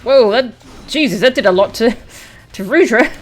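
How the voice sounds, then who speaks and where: A woman's voice: fairly high pitch, lively intonation, moderate pace, soft background music. A woman streaming a video game, giving casual live commentary as she plays.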